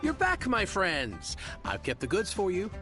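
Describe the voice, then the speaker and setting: A courteous merchant in a video game. The speech is slow and hesitant but lilts up and down with polite curiosity, over game music. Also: male voice, high voice, huge pitch swings, full character voice. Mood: friendly, curious.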